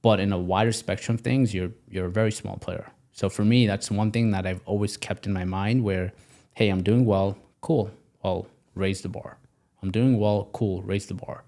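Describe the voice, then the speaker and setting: A young man from Pakistan speaks as a podcast guest in a somewhat low voice. He talks with energy and emphasis, repeating key phrases as he drives his points home. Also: steady tone, normal speed, dark tone. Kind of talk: casual interview talk.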